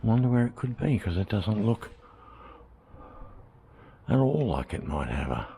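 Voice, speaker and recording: A man's voice: fairly low pitch, sing-song, a little slow, gravelly, warm tone, a little hiss or hum. An Australian man chatting casually to camera while walking around a historic village, thinking aloud as he goes. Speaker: travel vlogger. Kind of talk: casual walking tour commentary.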